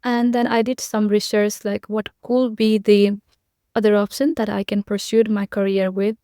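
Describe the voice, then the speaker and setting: A woman's voice: medium pitch, little pitch movement, moderate pace, warm tone, clean tone, no echo, studio quality. A young woman from Nepal, a podcast guest, giving earnest, repetitive conversational answers with frequent fillers.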